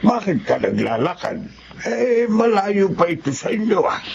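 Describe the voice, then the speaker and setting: A man playing an elderly Filipino grandfather, caring yet stern, with a gravelly voice in a radio drama. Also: high voice, sing-song, a little slow, full character voice. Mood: concerned. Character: elderly grandfather, caring but sternly scolding his daughter. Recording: dull lo-fi audio, clear background noise.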